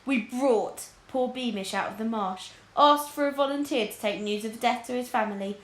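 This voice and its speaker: Woman reading a kids story out loud, expressive, echoey room. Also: on the high side, normal speed. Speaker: storyteller reading a children's book aloud. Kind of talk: expressive scripted story narration. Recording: roomy, echoing indoor sound.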